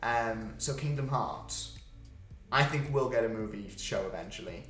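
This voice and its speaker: A man's voice: mid-range voice, expressive, measured pace, a bit bright. A man co-hosting a podcast, talking casually and conversationally. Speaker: podcast co-host. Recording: echoey room, music underneath.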